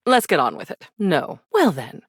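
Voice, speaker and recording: A woman's voice: sits low, husky, no room sound, studio quality, heavily acted. A woman voicing a sardonic, theatrical video game character, a sinister living embodiment of attic memories, with a languid, arch, mock-sweet delivery. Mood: resolute, dry.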